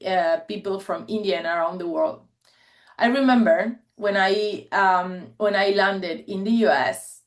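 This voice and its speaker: A woman in her forties, a native Spanish speaker, gives a presentation to an online meeting, telling stories in an earnest, chatty way with frequent laughs. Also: medium pitch. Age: 40s. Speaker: guest speaker.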